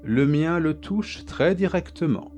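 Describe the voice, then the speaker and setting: A man voicing an elegant, calm male killer who speaks softly, slowly and carefully. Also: on the high side, faint music behind, pitched above the performer's own voice. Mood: calmly menacing. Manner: soft, slow and careful.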